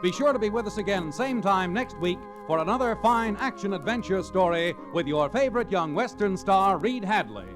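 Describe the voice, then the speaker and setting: A male announcer from 1940s radio, pitched high and declaiming his script dramatically. Music plays clearly behind him on a restored old recording. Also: lively intonation, normal speed, hardly any pauses, poor audio.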